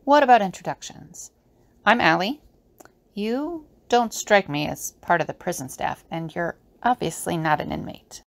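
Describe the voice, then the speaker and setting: A woman's voice: lowish voice, lively intonation, normal speed, no room sound. A woman playing a wry, practical prisoner in an audio drama, speaking informally. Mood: planning, friendly.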